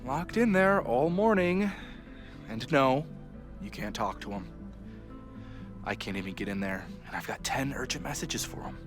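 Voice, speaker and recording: A man's voice: mid-range voice, lively intonation, moderate pace, pauses often, over music, lightly acted. A man playing a harried, wry university society president in a video game, theatrical and sarcastic in an exasperated way. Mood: weary, guarded. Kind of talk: naturalistic video game acting.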